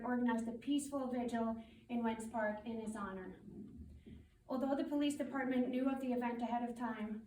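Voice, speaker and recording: A woman with a fairly high voice reads out a prepared public statement. She is formal, firm and deliberate. The sound is echoey and a little far from the mic, as in a meeting room. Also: darkish tone. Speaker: community spokesperson. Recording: roomy meeting hall, distant mic, faint noise.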